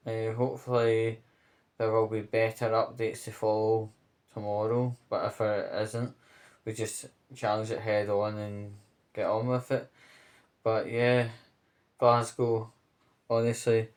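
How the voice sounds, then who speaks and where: A man speaking casually to his viewers in a vlog update, earnest and hesitant, pausing between short phrases. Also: lowish voice, little pitch movement. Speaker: vlogger.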